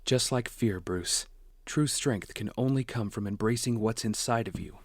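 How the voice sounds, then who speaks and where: An actor playing a gentle, wise, steady father in an audio drama, speaking in a measured, reassuring way. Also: on the low side, no room sound, clean studio sound, close to an everyday voice. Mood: calm, instructive. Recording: music under the voice.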